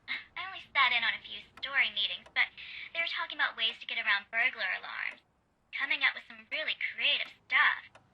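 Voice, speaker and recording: An actress playing a guarded, loyal Hollywood assistant over a telephone in a radio drama, reluctant and wary. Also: female voice, fairly high pitch, brisk. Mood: confiding. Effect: telephone filter. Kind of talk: old-time radio drama acting. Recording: thin, noisy telephone line.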